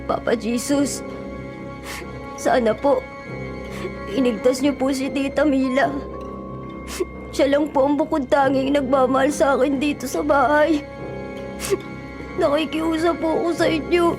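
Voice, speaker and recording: A woman's voice: high-pitched, steady tone, a little slow, long pauses, over music. A woman with a Filipino accent voicing a mistreated, pleading little boy in a radio drama. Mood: sad, prayerful. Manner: tearful, pleading.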